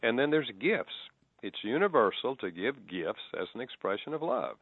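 A man's voice: medium pitch, very animated, moderate pace, vocal fry, breathy edge, no room sound. A man with a Southern US accent, a radio guest and author, explaining in a folksy, plain-spoken way with chuckles. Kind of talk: radio interview. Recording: phone-line guest, dry.

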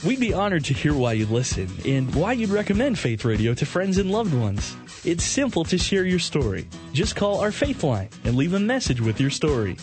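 A man reading a radio station promo in a smooth, upbeat announcer style. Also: a little high, big pitch swings, moderate pace, a little mellow. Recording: promo read with music bed behind.

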